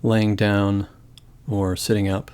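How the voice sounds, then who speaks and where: A man with a lowish voice leads a guided meditation. His delivery is calm and gentle. He speaks in measured phrases, with long silences between them. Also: smooth. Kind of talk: guided body scan meditation.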